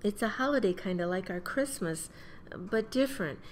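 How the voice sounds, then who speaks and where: An actress playing a gentle, patient mother of young children in a radio play. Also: medium pitch, lively intonation, moderate pace, a little hiss or hum, lightly acted. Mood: affectionate.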